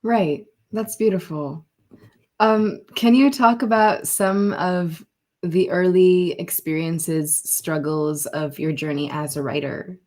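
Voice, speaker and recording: Lowish-voiced woman interviewing casually. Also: steady tone, slow, a little mellow. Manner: affirming, informal. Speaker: podcast host, interviewer.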